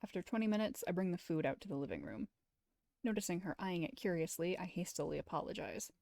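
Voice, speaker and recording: A woman streamer reading a visual novel aloud as expressive first-person story narration. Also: fairly low pitch, fast talker, creaky, no room sound.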